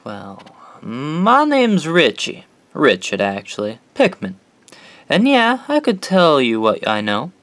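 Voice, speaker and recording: Man acting an eager young asylum painter, clear-voiced. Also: fairly high pitch, huge pitch swings, slow, a bit bright, dry recording, full character voice. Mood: eerie, inviting. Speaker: actor in an audio drama. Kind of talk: audio horror drama acting.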